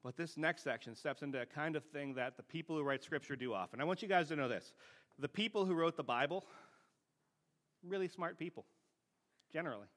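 A man's voice: a little high, fairly quick, fairly bright tone. A man preaching a teaching sermon in a plain-spoken, conversational way, often checking in with rhetorical questions.

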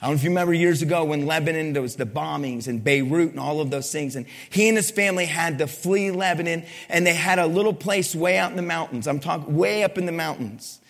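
Male pastor preaching, fairly high voice, loud then hushed, long echo of a big church hall. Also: fast, creaky, few pauses. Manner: preaching with rhetorical questions to the congregation; swings between loud emphasis and soft low lines. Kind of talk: sermon.

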